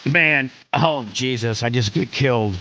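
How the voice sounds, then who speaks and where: A male radio host in casual banter, with a sharp, forceful voice at middle pitch. He stumbles and repeats words, and a faint hiss sits behind him. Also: a little slow.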